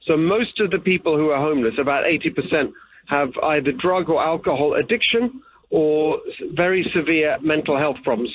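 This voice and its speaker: Man on a tinny phone line. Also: a little high. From England. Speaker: political candidate. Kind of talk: interview answers.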